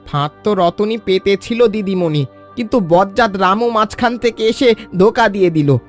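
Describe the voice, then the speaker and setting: High-voiced loyal, blunt village uncle, acted by a man. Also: moderate pace, soft background music, close to an everyday voice. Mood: aggrieved. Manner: blunt, exclaiming, aggrieved. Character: loyal, indignant village uncle, protective of young friend. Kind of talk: animated Bengali children's story acting.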